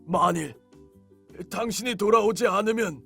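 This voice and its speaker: An actor playing a big, gentle male beast who speaks formally and courteously in a children's storybook. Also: sits high, moderate pace, vocal fry, faint music behind, full character voice. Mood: solemn.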